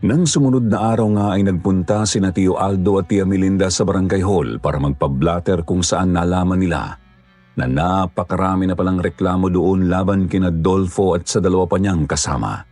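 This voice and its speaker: A man's voice: sits low, moderate pace, a little mellow, soft background music. A man narrating a scripted horror story as its storyteller.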